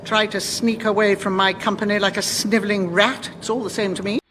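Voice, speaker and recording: An actress playing a bossy, sharp-tongued woman who runs a tiny airline in a radio sitcom, speaking with imperious sarcasm. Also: mid-range voice, steady tone, brisk, big-room sound, noisy background, lightly acted. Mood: brisk, scornful.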